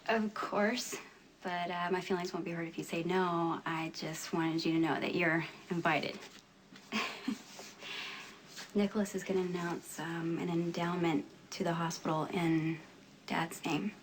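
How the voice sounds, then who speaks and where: A woman's voice: on the low side, flat delivery, unhurried, a little hiss or hum, close to an everyday voice, low-quality recording. An actress playing a supportive, loyal young woman in a television soap opera. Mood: fond, wistful.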